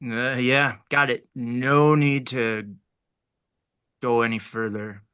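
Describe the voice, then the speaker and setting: A bemused new male teacher, played by an actor in a sitcom read, deadpan and reluctant. His voice is bright and clear, though the recording is a bit lo-fi. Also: mid-range voice, slow.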